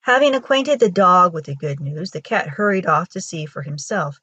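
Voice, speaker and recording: A woman with a husky voice reading a children's folktale aloud as a storyteller. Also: fairly low pitch, sing-song, moderate pace, sharp tone, no room sound, dull audio. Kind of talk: scripted storybook narration for children.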